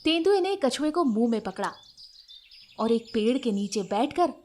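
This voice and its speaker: A woman narrating a scripted animated fable for children as a storyteller. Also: on the high side, moderate pace.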